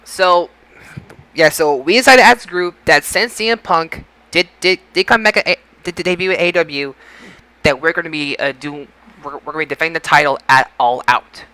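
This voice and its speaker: Male podcast host, slightly high and forward-bright voice, casual, halting delivery with repeated starts. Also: smooth, dry recording. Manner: halting, repeats and restarts phrases. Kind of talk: casual podcast sign-off talk.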